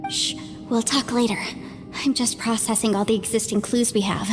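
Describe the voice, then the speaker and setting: A woman playing an earnest, thoughtful young companion in a video game, with a careful and gentle delivery. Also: fairly high pitch, fairly even intonation, moderate pace, natural voice. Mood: thoughtful, reassuring. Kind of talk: dramatic video game voice acting. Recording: long reverberant hall sound. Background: clear background music.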